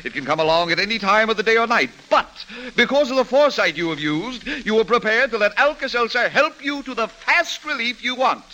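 Pompous high-voiced pedant, swooping pitch. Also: male voice, lively intonation, a little fast, few pauses, pushed and bright, heavily acted, low-quality recording. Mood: smug, pedantic. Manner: pompous, wordy, mock-scholarly. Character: fussy, pedantic male expert with long-winded speech. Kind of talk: 1940s radio comedy commercial. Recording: old radio broadcast recording.

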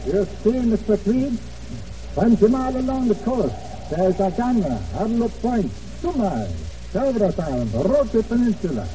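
Careful island rancher, very muffled. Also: male voice, sits high, very animated, warm tone. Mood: eager. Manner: halting, deliberate, formal phrasing. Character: loyal island rancher, careful and grave friend. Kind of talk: old radio drama acting. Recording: muffled old radio, distant, faint noise.

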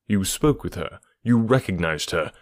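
A man reading an audiobook, voicing a calm, deliberate male detective. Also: low-pitched, no echo, clean studio sound.

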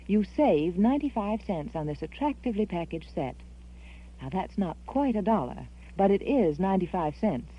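American lady doing an old radio ad, measured but swoopy emphasis, dull vintage sound. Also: mid-range voice. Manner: measured, emphatic, personal address. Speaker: advertising spokeswoman. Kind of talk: 1940s radio commercial, scripted pitch.

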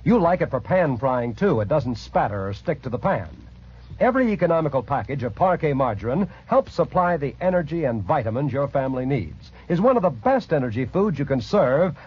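American man, 1940s ad announcer, earnest, persuasive, raspy edge, very lively pitch, dull vintage recording. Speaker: radio commercial announcer. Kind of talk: 1940s scripted advertisement read. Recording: muffled old 1940s radio recording.

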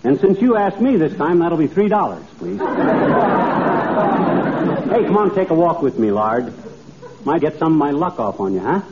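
A man plays a cheerfully boastful middle-aged everyman in an old radio comedy. His highish voice swoops around with folksy, slangy swagger. The recording is tinny and noisy, like a vintage broadcast. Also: expressive, moderate pace, long pauses, over a noisy crowd, close to an everyday voice. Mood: cheerful, teasing. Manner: folksy, boastful, chuckling banter with slangy nicknames. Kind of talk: 1940s radio comedy acting.

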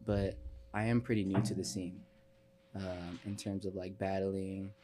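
A man's voice: low-pitched, little pitch movement, a little slow, mellow. A twenty-year-old man from Chicago answering questions as a podcast guest in casual interview chat. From Chicago, United States. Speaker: podcast guest, dancer and performer.

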